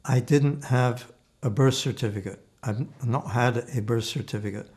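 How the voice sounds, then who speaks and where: A man in his eighties, born in Slovakia with Slovak as his first language, giving oral history testimony in a measured, thoughtful way with frequent restarts. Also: medium pitch, a little mellow. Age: 80s. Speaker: interviewee.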